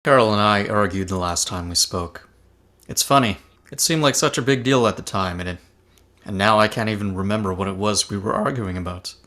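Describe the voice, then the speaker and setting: A man's voice: on the low side, fairly quick, sharp tone. A man in an amateur table read playing an earnest, sentimental ghost of a husband. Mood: wistful. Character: earnest, sentimental male ghost reminiscing about his wife. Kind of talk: amateur table read acting over video call.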